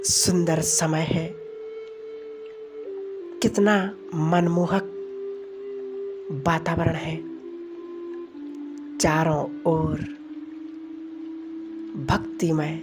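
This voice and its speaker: Woman, meditation guide, low pitch, unhurried slow delivery, soothing devotional commentary, short phrases with pauses. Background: music.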